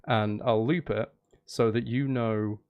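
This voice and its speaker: A man's voice: fairly low pitch, steady tone, takes it slowly, narrow, tinny audio. A man chatting casually to viewers on a livestream, rambling, repeating himself and breaking off mid-sentence in a jokey, self-deprecating way. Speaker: live streamer.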